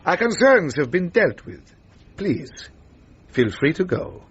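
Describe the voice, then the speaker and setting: Radio drama villain: courteous, threatening German officer, German accent, husky, swooping intonation, formal phrasing. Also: male voice, fairly high pitch, big pitch swings, muffled recording, heavily acted. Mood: smoothly courteous. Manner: formal, measured, over-polite. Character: suave, menacing male German officer, falsely courteous.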